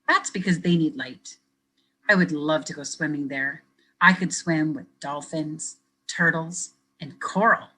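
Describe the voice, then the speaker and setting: A woman educator reading a picture book aloud to children, pausing to ask them questions in a gentle, expressive way. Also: low voice. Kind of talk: children's read-aloud with teacher commentary.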